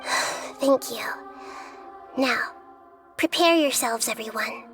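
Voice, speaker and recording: A woman voicing a gentle, wise young goddess in a video game, speaking in a measured, deliberate, philosophical way. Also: on the high side, over music. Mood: calm, guiding.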